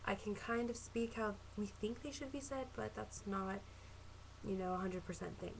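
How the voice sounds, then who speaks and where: A young woman in her twenties with a Southern Californian accent talks to her camera at home. Her voice sits at an ordinary middle pitch, and she rambles earnestly, trips over her words and corrects herself. A faint hum of room noise runs underneath. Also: fairly even intonation, normal speed, gravelly, low-quality recording. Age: 20s. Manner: chatty and earnest, with frequent ums and self-corrections. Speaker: vlogger. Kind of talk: casual self-introduction to camera.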